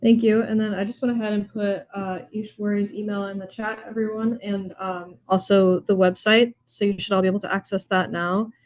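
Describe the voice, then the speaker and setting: Steady, flat-toned webinar moderator woman. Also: medium pitch, a little fast, few pauses, no room sound. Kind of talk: moderating and reading out questions.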